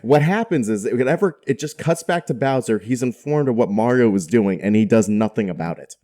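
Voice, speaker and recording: A man's voice: medium pitch, animated, fairly quick, dark tone. A man chatting casually as a podcast co-host, informal and jokey in the way he talks. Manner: informal and jokey, often chuckling. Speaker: podcast co-host discussing films.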